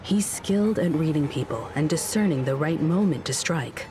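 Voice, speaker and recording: Low-voiced, composed woman strategist. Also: animated. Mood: analytical, cautious. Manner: measured, deliberate, reasoning. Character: calm, analytical adult woman, cautious crew member. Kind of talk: scripted game story dialogue. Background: background music.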